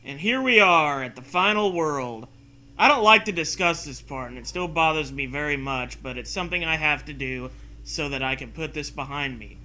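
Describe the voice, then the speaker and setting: A man's voice: on the high side, lively intonation, moderate pace, bright, forceful tone, a little hiss or hum, rough recording. A man co-hosting a show, reading a story aloud in mock-serious style with sarcastic, profane asides.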